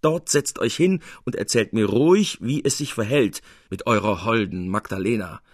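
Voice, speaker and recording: A man's voice: lowish voice, normal speed, dry recording, clean studio sound, lightly acted. A man voicing a bold, sardonic master painter with an emphatic, commanding delivery in a literary reading. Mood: brusque, impatient.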